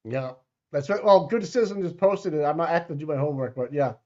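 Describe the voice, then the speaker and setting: A man from the Boston area co-hosting a casual live stream, talking in a rambling, halting way and swearing casually. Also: fairly high pitch, mellow, dull audio. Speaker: co-host.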